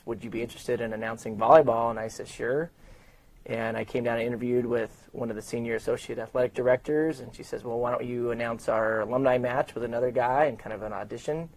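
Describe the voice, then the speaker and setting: A man's voice: medium pitch, darkish tone, rough recording. Middle-aged American man, conversational storyteller. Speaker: sports public address announcer being interviewed. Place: open indoor lobby studio at a desk.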